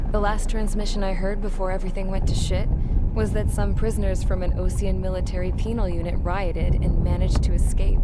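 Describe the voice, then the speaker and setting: An actress playing a weary, cynical military pilot-mechanic who narrates a game cutscene in a blunt, jaded way. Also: female voice, medium pitch, natural voice. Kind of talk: scripted first-person game cutscene narration. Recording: loud noise under the voice.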